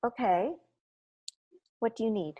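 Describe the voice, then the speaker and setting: A woman playing a gentle, well-meaning suburban mother in a table read, soft and hesitant. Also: on the high side, measured pace, dull audio. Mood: hurt, gentle. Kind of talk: comedic sitcom table read.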